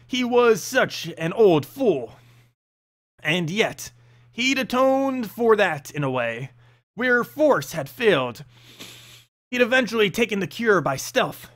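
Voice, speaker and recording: A man narrating a fantasy story in an expressive, dramatic storyteller's manner. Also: sits high. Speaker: narrator reading game lore.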